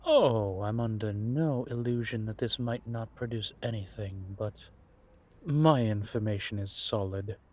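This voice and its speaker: A man playing a centuries-old vampire spymaster in a roleplay audio drama, patient and wry, speaking in measured phrases with deliberate pauses. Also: fairly low pitch, a little mellow. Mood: assured.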